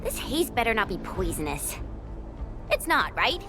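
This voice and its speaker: A woman playing a spirited, wary young adventurer in a video game, expressive and casual in delivery. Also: a little high, normal speed. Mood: uneasy, spirited. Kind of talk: video game character acting. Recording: voice over game music.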